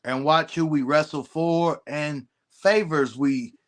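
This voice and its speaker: Emphatic slangy man, higher-pitched voice. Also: fairly even intonation, slow pace. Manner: emphatic, agreeing, slangy "bro". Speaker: guest wrestler. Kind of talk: casual chat.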